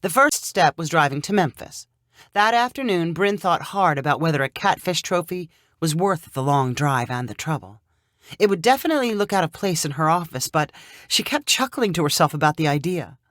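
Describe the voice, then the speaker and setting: Female storyteller for short fiction: slightly low register, expressive, dry close recording. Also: moderate pace, no room sound. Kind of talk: scripted short-story narration.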